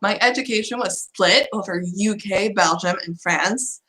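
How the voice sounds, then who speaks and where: A woman's voice: medium pitch, moderate pace, echoey room, background noise. A young woman, a student vlogger who is starting to lose her voice, ranting in a rambling, frustrated way. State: losing her voice. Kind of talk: casual vlog chat.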